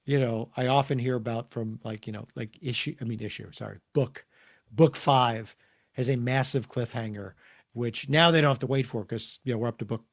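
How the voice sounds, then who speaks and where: A middle-aged man telling stories casually as an author guest in an interview, animated and prone to acting out dialogue. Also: mid-range voice, moderate pace, creaky. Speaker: guest, comics and children's book author.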